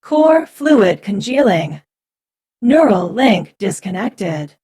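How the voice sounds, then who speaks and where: Dying alien warship AI, slow mechanical alerts, muffled and hoarse, voiced by a man. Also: sits high, sing-song, darkish tone, voice pushed up, full character voice. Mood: malfunctioning. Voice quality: synthesized, stammering. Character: robotic warship computer voice failing.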